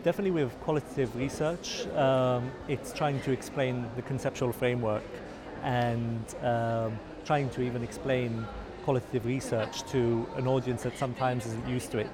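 A man's voice: mid-range voice, steady tone, moderate pace. A man speaking thoughtfully and a little hesitantly about research. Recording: chattering crowd behind.

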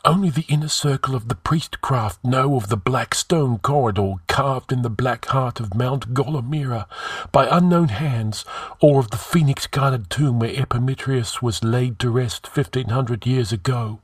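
A man voicing a trembling, deferential high priest, urgent and secretive, in a fantasy audiobook. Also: normal speed, husky, no room sound, studio quality, lightly acted. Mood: fearful, confiding.

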